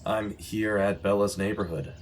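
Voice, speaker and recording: An actor playing an earnest, righteous former journalist investigating a killing in a radio drama. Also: male voice, fairly low pitch, fairly even intonation, moderate pace, a little hiss or hum, close to an everyday voice, low-quality recording. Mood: puzzled, brooding. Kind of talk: audio drama acting in vintage radio manner. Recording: music laid under the voice. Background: plucked guitar music.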